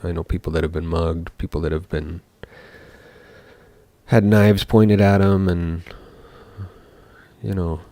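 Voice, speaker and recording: A young man from Seattle, a game developer talking casually while coding live, thinking aloud with many pauses. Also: sits low, steady tone, warm tone, dry recording. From Seattle, USA.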